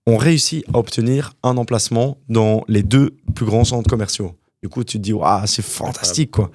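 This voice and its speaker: A young man from Canada, a guest entrepreneur telling his story in a casual interview, animated and full of fillers. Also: lowish voice, normal speed, dry recording, clean studio sound. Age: young adult.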